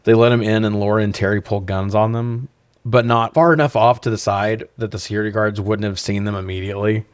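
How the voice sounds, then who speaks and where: A man's voice: lowish voice, level tone, fairly quick, clean tone, no echo, studio quality. A man co-hosting a podcast, casually recapping and riffing with incredulous, sweary humor. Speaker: podcast co-host. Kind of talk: casual comedic film recap and chat.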